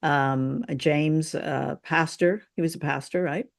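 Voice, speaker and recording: A woman's voice: low-pitched, fairly even intonation, takes it slowly, creaky. A woman co-hosting a review show in casual chat, informal and wry with a teasing streak. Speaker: co-host of a radio drama review show.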